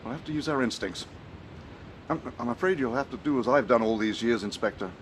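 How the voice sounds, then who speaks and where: An actor playing a loyal, earnest gentleman doctor and detective's companion, speaking formally and with measure in a film drama. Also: medium pitch, brisk, a little hiss or hum, lightly acted. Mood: earnest, steady. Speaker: actor, narrator. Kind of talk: dramatic narration and film dialogue.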